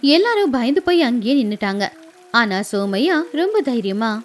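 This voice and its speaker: A woman narrating a scripted animated moral tale as a storyteller. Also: fairly high pitch, normal speed, faint music behind.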